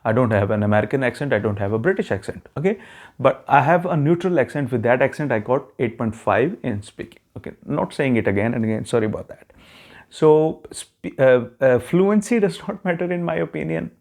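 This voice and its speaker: A man's voice: medium pitch, animated, a little fast, darkish tone. A man, self-described as not very fluent in English with a neutral accent, explaining a test strategy to his viewers in a casual talk with frequent okay check-ins. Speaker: YouTube channel host giving immigration advice.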